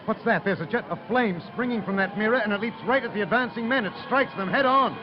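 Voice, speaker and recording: An actor playing an urgent, halting radio reporter who is terrified by what he witnesses, in 1930s radio style. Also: sits high, music in the background, close to an everyday voice. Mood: horrified. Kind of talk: 1930s radio eyewitness commentary acting. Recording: muffled old broadcast, outdoor noise.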